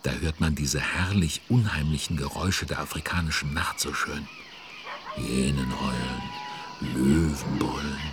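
A man's voice: extremely low pitch, steady tone, measured pace, noisy background. A man playing a droll, timid, sleepy storyteller in a children's audio drama. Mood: weary. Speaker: narrator.

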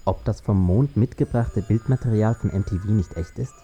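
An actor playing a man who chronicles and doubts history in a measured, documentary-like radio drama style. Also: lowish voice, mellow, faint music behind, lightly acted. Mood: measured, doubting. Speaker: radio play speaker. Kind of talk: scripted German radio drama, documentary-like narration.

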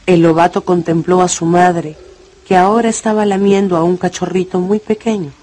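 A man's voice: high-pitched, steady tone, moderate pace, a little mellow. A man narrating a radio novel in a scripted, dramatic storytelling style.

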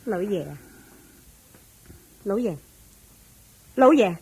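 A woman playing the gentle, concerned wife of a wealthy master in a Cantonese radio drama, attentive, with a Hong Kong accent. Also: medium pitch, expressive, very slow, a little hiss or hum, rough recording.

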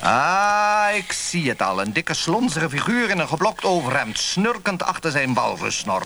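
A man's voice: on the high side, sing-song, unhurried, background noise. A man acting in a Dutch radio play as a self-satisfied traveller, theatrical and given to muttering to himself. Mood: amused, observing. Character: self-satisfied male motel guest, a weary traveller.

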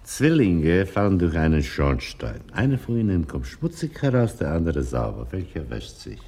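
A wry, patient talmudic teacher in a radio play. The voice is very low and husky, and it teases gently with deliberate, sly phrasing. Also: male voice, big pitch swings, exaggerated voice. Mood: didactic, sly. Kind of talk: theatrical radio play dialogue.

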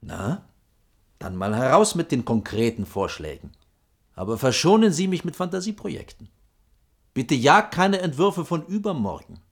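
A stern, dry male bureaucrat with a rough-edged, husky voice. His pedantic, clipped admonitions swing widely in pitch, in the manner of 1980s radio drama. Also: big pitch swings, moderate pace, exaggerated voice. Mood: sarcastic, reproving. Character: stiff, dutiful male bureaucrat, stern and dry senior colleague. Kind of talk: 1980s East German radio drama acting.

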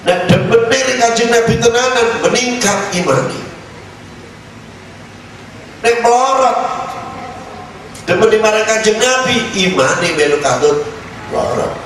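A man's voice: high-pitched, animated, measured pace, pauses often, fairly bright tone, far from the mic. A man with a Javanese accent preaching an Islamic sermon in an exhortative, emphatic way, often posing rhetorical questions. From Indonesia. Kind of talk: sermon mixing Indonesian with regional speech and Arabic quotations. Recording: echoey, noisy hall recording from afar.

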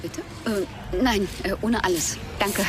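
A woman's voice: medium pitch, slow pace, noisy background, close to an everyday voice. A woman acting a polite, curious television journalist in naturalistic radio drama dialogue.

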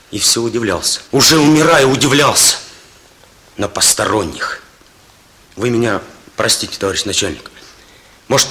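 An actor in a Soviet radio drama playing an earnest, indignant young police operative, speaking with halting, emotional intensity. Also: male voice, mid-range voice, bright, forceful tone.

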